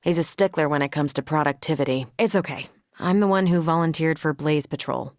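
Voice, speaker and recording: Confident female superhero, American accent, lower-pitched, dry studio sound, wry, wisecracking, video game. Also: fairly quick, no echo. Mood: easygoing, teasing. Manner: dry, wisecracking, self-assured. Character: confident, wry female superhero, former Air Force pilot.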